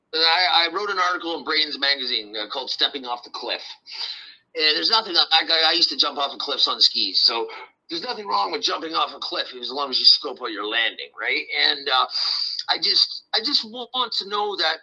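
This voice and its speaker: A man hosting a casual podcast interview in a rambling, halting, blunt and salty-tongued way, often repeating words as he goes. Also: fairly high pitch, pushed and bright, low-quality recording. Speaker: podcast host.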